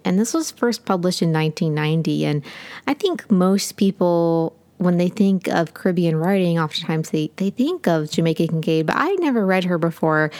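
Woman, podcast co-host, slightly low pitch, enthusiastic, casual chatty book talk. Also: darkish tone. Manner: enthusiastic, chatty, many fillers.

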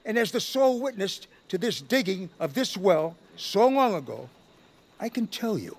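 An actor playing the oldest creature in town, a disapproving, earnest and plain-spoken elder in a family audio drama. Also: male voice, a little high, vocal fry, exaggerated voice. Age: older. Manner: indignant, plain-spoken scolding. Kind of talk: family audio fiction acting.

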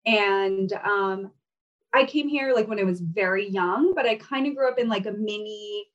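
A woman's voice: medium pitch, moderate pace, recorded from a distance, highs cut off. A young woman from Kazakhstan, Russian her first language, chatting as an interview guest with many fillers and laughs. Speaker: guest, fashion brand founder. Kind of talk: casual interview chat.

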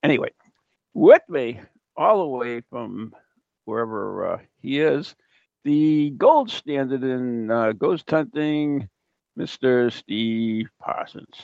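American guy hosting, jokey and teasing, pitch all over, dull dry audio. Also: animated, slow pace, creaky, a little mellow, dry recording. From New England, US. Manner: playful, teasing. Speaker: podcast host. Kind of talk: casual, jokey chat.

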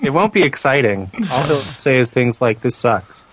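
A man's voice: medium pitch, lively intonation, normal speed, fairly bright tone, poor audio. A man co-hosting a podcast in casual, joking banter. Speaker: podcast co-host.

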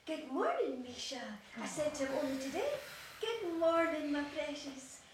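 Besotted young-child's mother , female actor, high and gushing, a little off-mic with strong room echo. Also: expressive, moderate pace. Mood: doting. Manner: gushing, precious. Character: doting mother, besotted and easily offended. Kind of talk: audio play acting. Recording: very echoey room, distant, faint noise.

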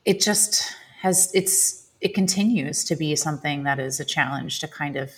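A woman's voice: low voice, normal speed, breathy edge. A woman, an actress, being interviewed, speaking reflectively, often searching for words. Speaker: guest, stage actress. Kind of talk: conversational interview.

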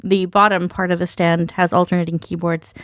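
A woman's voice: lowish voice, flat delivery, brisk, fairly bright tone, no room sound. Dry-sounding woman chatting casually. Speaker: podcast panelist and musician. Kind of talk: casual chat. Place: small treated spot in a bedroom. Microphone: mic inside foam-lined box.

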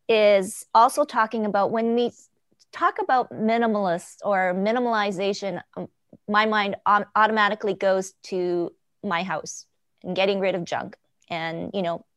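A woman's voice: medium pitch, a bit bright, dry recording, clean studio sound. A woman hosting a group video chat in a conversational, somewhat rambling way, circling back mid-sentence. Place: remote video call.

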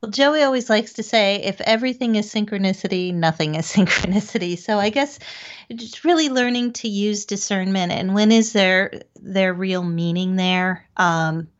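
A middle-aged woman answering as an interview guest, speaking conversationally in a halting, reflective way. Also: medium pitch. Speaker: interview guest, paranormal investigator. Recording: dull, lo-fi audio.

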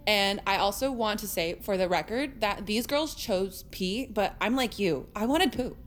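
An actress playing an earnest, gushing teenage fangirl with a quick temper in an audio drama. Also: mid-range voice, lively intonation, a little hiss or hum, natural voice. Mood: starstruck. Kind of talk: audio drama comedy acting.

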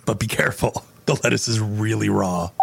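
A man's voice: lowish voice, fairly even intonation, brisk, gravelly, heavy background noise. A male voice actor joking around and practicing tricky names aloud between recording takes. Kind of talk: playful recording-session banter.

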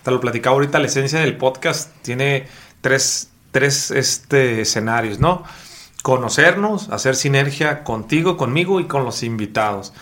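A man's voice: mid-range voice, normal speed, a bit bright. A man hosting a podcast, asking friendly, rambling questions. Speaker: podcast host. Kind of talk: casual interview.

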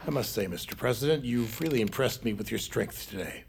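An actor playing a wily, sardonic old newspaperman who wheedles and flatters his way through a period audio drama. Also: fairly low pitch, a little fast, gravelly, a little hiss or hum. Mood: flattering. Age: older. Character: wily old male reporter, sardonic and smooth-talking.

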